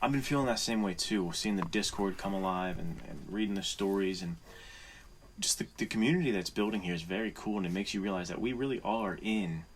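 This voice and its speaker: A man's voice: fairly low pitch, a little fast. A man co-hosting a podcast, chatting informally and earnestly like an appreciative buddy. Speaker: podcast co-host. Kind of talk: casual podcast chat. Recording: faint background noise.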